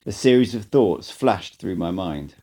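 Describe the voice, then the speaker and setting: Low, lively male audiobook narrator. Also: measured pace. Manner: expressive, dramatic storytelling delivery. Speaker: amateur audiobook reader. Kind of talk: first-person audiobook narration.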